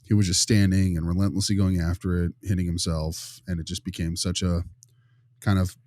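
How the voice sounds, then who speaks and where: A man playing a friendly, tactful school consultant in a role-play, halting and often rephrasing his questions. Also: low-pitched, level tone, darkish tone, dry recording, clean studio sound, lightly acted. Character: friendly, tactful male school behavior consultant probing gently. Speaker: visiting behavior consultant.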